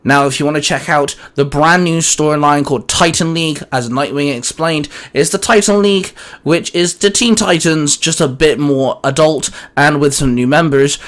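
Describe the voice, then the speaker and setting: A man with a slightly high voice chats casually as the co-host of a geeky show. He has a teasing, sarcastic streak to his banter.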